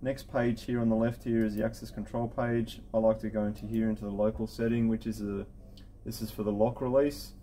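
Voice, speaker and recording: A man's voice: lowish voice, flat delivery, brisk, dark tone, slight background noise. A middle-aged man giving a casual, matter-of-fact, step-by-step technical tutorial as an installer at a computer. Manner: informal, matter-of-fact instructional talk. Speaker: intercom installer giving a software setup tutorial. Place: indoor office at a desk with computer.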